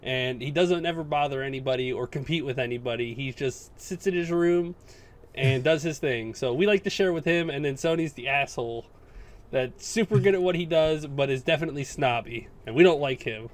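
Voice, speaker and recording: A man co-hosting a gaming podcast, chatting casually in an animated, sweary way. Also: mid-range voice, fairly quick. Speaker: podcast co-host.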